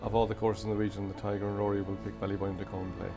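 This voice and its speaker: An Irish man from a golf club answering interview questions in a brisk, proud way. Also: lowish voice, level tone, music in the background.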